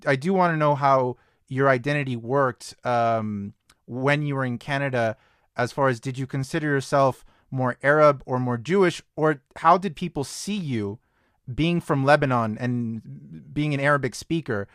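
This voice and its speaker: A man's voice: medium pitch, moderate pace, fairly bright tone, no echo, studio quality. A young man hosting a podcast, asking his guest casual, conversational questions. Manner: conversational, with fillers and restarts. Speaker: podcast host.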